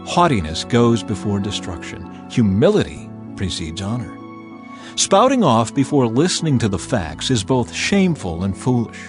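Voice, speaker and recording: A man delivers a dramatized scripture reading with lively, varied intonation. His delivery is measured and declarative, set over faint background music, with a mid-pitched voice. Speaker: audio Bible narrator.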